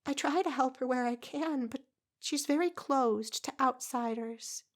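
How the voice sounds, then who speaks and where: A woman voicing a gentle, kind young woman who speaks softly and quietly. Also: high voice, a little slow, pitched above the performer's own voice. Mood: soft, concerned. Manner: soft, quiet voice.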